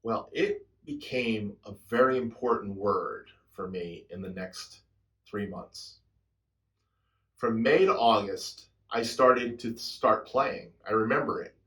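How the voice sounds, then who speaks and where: A man in his sixties, a drummer hosting his channel, telling a personal story in a reflective, introspective way, his sentences often trailing off softly. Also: on the low side. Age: 60s. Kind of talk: reflective personal talk to camera.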